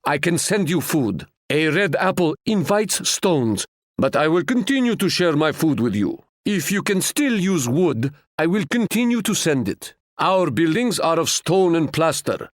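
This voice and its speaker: A male actor playing a proud, wise Ottoman sultan in a video game, speaking in a stately, formal and measured way. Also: a little high, big pitch swings, no room sound, clean studio sound. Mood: generous, assured. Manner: stately, formal, measured, proverb-laden delivery. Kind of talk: scripted video game character lines.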